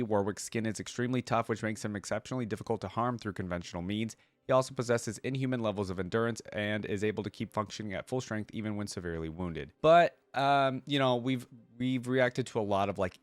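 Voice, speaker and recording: A man's voice: on the low side, no echo. A man hosting a reaction video, thinking aloud in casual, conversational commentary and sometimes reading from web pages. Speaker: reaction video host and streamer.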